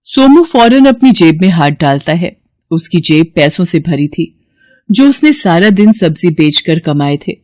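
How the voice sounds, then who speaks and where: A woman's voice: fairly low pitch, sing-song, measured pace, a little mellow, no echo. A woman narrating a scripted children's bedtime story as the storyteller.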